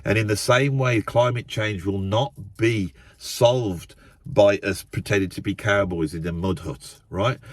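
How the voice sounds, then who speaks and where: A man ranting into a camera in his parked car, loud and emphatic, repeating himself, his nose a little blocked up. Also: fairly low pitch, dry recording. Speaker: YouTube channel host. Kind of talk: impassioned monologue to camera. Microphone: camera set up in the car.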